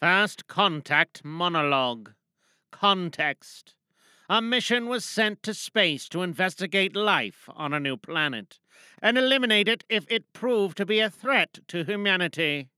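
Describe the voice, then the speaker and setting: A woman's voice: lowish voice, lively intonation, a little slow, pushed and bright, clear, resonant tone, no echo, clean studio sound. A woman chatting casually and playfully to listeners as she introduces her own monologue performance. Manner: playful, jokey.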